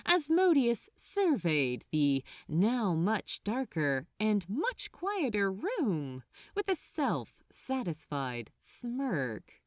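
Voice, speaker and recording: A woman reading fiction aloud as a dramatic, deliberate and suspenseful storyteller. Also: mid-range voice, huge pitch swings, no room sound, studio quality. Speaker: fan fiction narrator.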